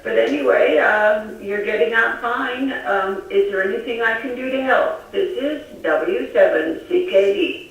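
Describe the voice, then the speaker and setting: A woman's voice: a little high, measured pace, highs cut off. A woman with an American accent chatting casually over two-way radio as an amateur operator. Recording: very echoey, distant radio link.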